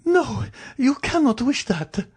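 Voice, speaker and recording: Storybook gentlewoman acted by a man, frantic and pleading, high swooping voice, drawn-out stammering delivery. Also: sing-song, slightly rough, full character voice. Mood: desperate pleading. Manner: high, wailing, stammering pleas. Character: terrified, pleading wife, a guilty gentlewoman poisoner.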